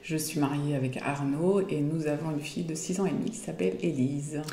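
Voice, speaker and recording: A woman's voice: low-pitched, fairly even intonation, fairly quick, dark tone, reverberant. A woman in her forties chatting in an interview, hesitating often as she talks. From Belgium. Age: 40s. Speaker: guest. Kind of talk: casual interview chat.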